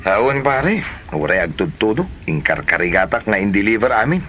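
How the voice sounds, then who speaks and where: Man acting as young delivery man, Ilocano accent, bright voice, expressive, comedy drama, faint background noise. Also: on the low side, moderate pace. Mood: excited, chatty. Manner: chatty, expressive.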